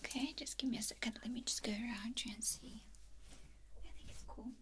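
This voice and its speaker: A woman in her twenties speaking in a soft, breathy whisper, gently giving close-up personal attention in an ASMR style. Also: fairly high pitch, steady tone, fairly quick. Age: 20s. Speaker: ASMR host. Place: small furnished room indoors. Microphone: close ASMR microphone, off screen.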